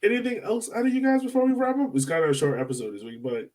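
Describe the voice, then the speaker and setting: A man's voice: high-pitched, fairly even intonation, rapid-fire, darkish tone. A man hosting a podcast, chatting casually about sports. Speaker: podcast host.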